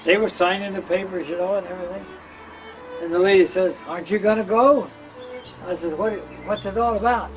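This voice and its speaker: An older man shares memories in an informal oral-history style. His voice is slightly high-pitched, and fiddle music plays clearly behind him. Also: steady tone, fairly quick, low-quality recording. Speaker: former work-camp member giving testimony.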